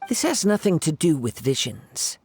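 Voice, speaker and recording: An actor playing a calm, perceptive young wandering samurai who speaks in a measured, formal way. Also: male voice, fairly high pitch, husky, exaggerated voice. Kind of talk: video game voice acting. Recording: soft game music behind.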